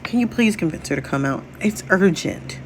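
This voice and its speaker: Woman as young bad boy, lovestruck, earnest, anxious, low voice, romance storybook. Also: expressive, moderate pace, a little hiss or hum, close to an everyday voice. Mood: pleading.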